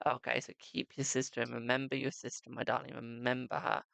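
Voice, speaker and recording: A man's voice: medium pitch, completely flat delivery, brisk, gravelly, a bit bright, no echo. A man giving a live-stream psychic tarot reading, chatting to viewers and calling them "my darling".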